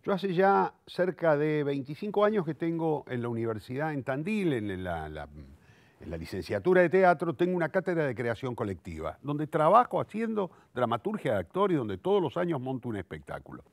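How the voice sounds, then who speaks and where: A man's voice: on the high side, sing-song, brisk, no echo. A man in his sixties with a Rioplatense Argentine accent, speaking reflectively and eloquently in an interview, often repeating words as he searches for the next. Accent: Rioplatense Argentine Spanish. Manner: eloquent, self-correcting, thinks aloud with searching repetitions. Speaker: playwright, director and theatre teacher.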